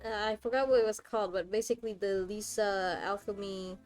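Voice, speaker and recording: A woman's voice: medium pitch, fairly even intonation, fairly quick, a little mellow, soft background music. A woman doing casual live game commentary as a streamer, with a wry, teasing, sweary manner.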